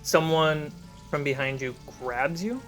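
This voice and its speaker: Guy running a fantasy tabletop game, describing stuff in short measured bits, light music behind him. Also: a little high, fairly bright tone. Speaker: game master.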